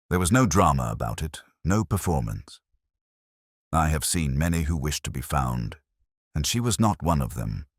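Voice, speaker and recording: A man with an extremely low voice reads a first-person detective story. His delivery is measured and reflective, with lively rises and falls in pitch, and the sound is dry and close, free of room echo. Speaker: narrator.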